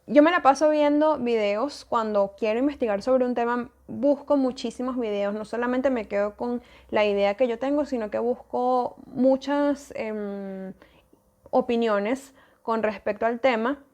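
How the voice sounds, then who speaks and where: Venezuelan woman, podcast host, relaxed thinking-aloud delivery, slightly high-pitched. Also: measured pace. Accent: Venezuelan Spanish. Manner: thoughtful, with many fillers and restarts. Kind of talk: casual solo podcast talk.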